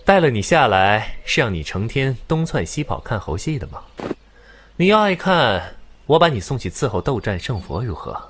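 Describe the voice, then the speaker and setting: Lilting, teasing, lordly young prince. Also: male voice, medium pitch, very animated, normal speed, a bit bright, a little hiss or hum. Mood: teasing, mock scolding. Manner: drawling, mocking, lordly. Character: languid, teasing young immortal prince scolding his servant. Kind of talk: Chinese audio drama acting.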